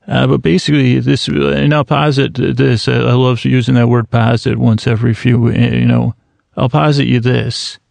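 A man in his thirties hosting a sleep podcast in a slow, meandering bedtime monologue, halting often with restarts and fillers. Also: medium pitch, flat delivery, creaky, a little mellow, no room sound. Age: 30s.

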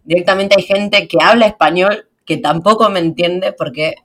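A young woman with an Argentine Spanish accent, hosting a podcast in fast, slangy, chatty talk. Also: fairly low pitch, a bit bright. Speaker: podcast host. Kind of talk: casual podcast chat.

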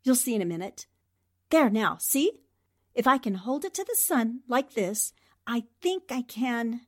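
An actress playing a resolute, quick-witted newspaperwoman in a 1940s-style radio drama. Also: fairly high pitch, expressive. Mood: tense, hopeful. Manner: decisive, urgent instructions. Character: Anne Rogers, quick-thinking, resolute newspaperwoman heroine.

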